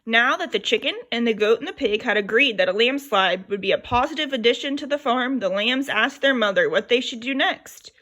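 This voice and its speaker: A woman reading a children's picture book aloud, her voice sitting a little higher than most. She tells the story in an expressive, lively way. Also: a little fast, hardly any pauses.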